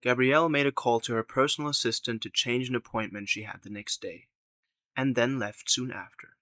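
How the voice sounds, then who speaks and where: A man's voice: mid-range voice, a little fast, pushed and bright, no room sound. A man narrating an audio drama in a scripted storytelling style.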